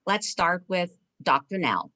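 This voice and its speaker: A woman's voice: on the low side, very animated, a little slow, bright, forceful tone. A woman moderating an online panel, upbeat and formal as she introduces the panelists and poses questions.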